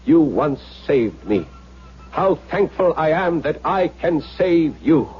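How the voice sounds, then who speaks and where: An actor playing an exuberant, domineering young German Nazi officer, effusive and insistent, in a 1970s radio drama. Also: male voice, a little high, big pitch swings, slow pace, husky, heavily acted. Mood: earnest, insistent. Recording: muffled old radio broadcast, faint music.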